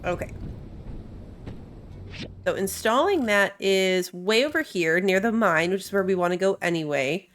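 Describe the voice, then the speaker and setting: A woman's voice: medium pitch, animated, a little slow, long pauses, a little hiss or hum. A woman hosting a gaming video with casual, chatty commentary, thinking aloud as she plays. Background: faint game music.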